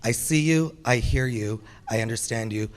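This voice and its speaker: A man's voice: mid-range voice, moderate pace. A man in his thirties from Canada, giving an earnest campaign speech with a rallying, repetitive cadence at a community all-candidates meeting. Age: 30s. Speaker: council candidate. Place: community hall. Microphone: wired microphone.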